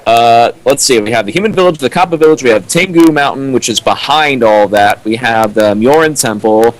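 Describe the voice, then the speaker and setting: A man's voice: medium pitch, brisk, rough recording. A man joining a stream as co-host, chatting casually and hesitantly with lots of fillers. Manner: rambling, hesitant, with many fillers. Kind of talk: casual joking chat.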